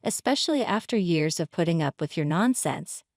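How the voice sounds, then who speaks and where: A woman's voice: fairly low pitch, animated, normal speed, no echo, studio quality, lightly acted. A woman narrator playing an indignant, hurt wife in a story, confrontational and pleading. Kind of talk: dramatized story reading.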